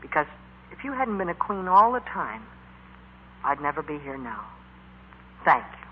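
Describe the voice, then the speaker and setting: A man's voice: fairly high pitch, big pitch swings, normal speed. A young American man playing an eager, earnest teenage bandleader, stammering and breathless, in 1940s radio acting style. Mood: grateful, moved. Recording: muffled, hissy 1940s radio recording.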